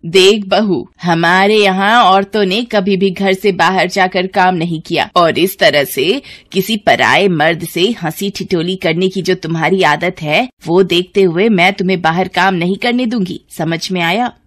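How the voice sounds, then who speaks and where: A woman's voice: fairly low pitch, a little slow, few pauses. Stern old mother-in-law done by a woman. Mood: stern, scolding. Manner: scolding, domineering.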